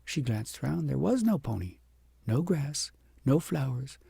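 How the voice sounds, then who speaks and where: An audiobook narrator reads a fairy tale in a dark, mellow voice. It is strongly creaky and husky, and the recording is dry. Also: medium pitch, sing-song, a little slow, no room sound. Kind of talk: scripted storybook narration.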